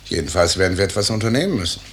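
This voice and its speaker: A man's voice: low-pitched, expressive, racing. A man acting a brusque, patronising senior surgeon, a middle-aged professor, in a 1950s radio drama. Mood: offhand, patronising. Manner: offhand, patronising. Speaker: actor. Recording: noisy old 1950s radio recording.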